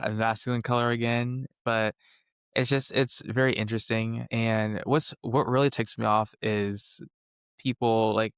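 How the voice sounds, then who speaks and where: A young man speaks at a middle pitch with a smooth, clean, soft voice that some would call a therapist voice. He talks in a thoughtful, measured way with frequent fillers. The sound is dry and close. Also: no room sound. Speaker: podcast co-host. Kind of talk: casual discussion.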